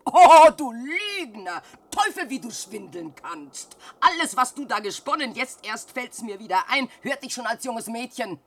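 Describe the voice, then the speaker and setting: A woman's voice: big pitch swings, measured pace, hardly any pauses. An actress playing a poor old widowed mother in a classic radio play, scolding and lamenting her lazy son. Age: older. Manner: scolding and lamenting, swings from shrill cries to hushed sighs.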